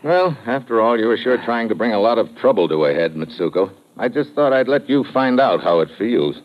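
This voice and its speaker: An actor playing a tough, wry American secret agent in an old-time radio drama, terse and slangy in dialogue and narration. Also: male voice, mid-range voice, animated, fairly quick, soft background music, lightly acted. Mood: wry, in control. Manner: terse, slangy, hard-boiled. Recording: muffled, noisy vintage radio broadcast.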